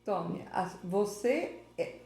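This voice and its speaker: A woman's voice: medium pitch, animated, takes it slowly, vocal fry, darkish tone, roomy sound. A woman interviewing a guest informally, prompting him often and repeating his name. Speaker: interviewer, local history host.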